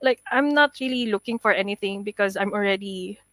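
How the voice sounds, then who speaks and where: A woman in her forties from the Philippines with a Filipino accent, a guest on a casual live chat, relaxed and laughing, mixing Tagalog into her English. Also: medium pitch, fairly quick, no room sound, studio quality. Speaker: guest, business partner.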